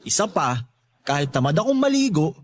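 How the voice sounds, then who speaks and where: Cheeky, swooping high-voiced young loafer played by a man. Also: big pitch swings, a little fast, slight background noise. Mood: boastful. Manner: teasing, wheedling, boastful. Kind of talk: Tagalog radio drama acting.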